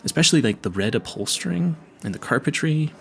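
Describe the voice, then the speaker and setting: A man's voice: a little high, expressive, fast talker, creaky, a bit bright. A man playing an awkward, smitten young vampire hunter in a romantic audio roleplay, stammering nervously and apologizing politely. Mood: admiring, rambling.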